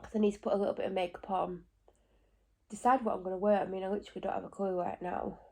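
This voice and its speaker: A woman's voice: medium pitch, level tone, vocal fry, darkish tone. A woman talks through her morning in a loose, rambling vlog, correcting herself as she goes. She is bunged up with a cold, so her voice comes out stuffy and congested. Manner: rambling, talks in quick asides and self-corrections. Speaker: vlogger. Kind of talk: casual chatty vlog. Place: at home.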